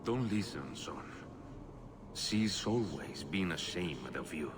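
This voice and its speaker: An actor playing a manipulative demon posing as a loving father, speaking in a slow, soothing way. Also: rumbling low, animated, noisy background, exaggerated voice. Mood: coaxing.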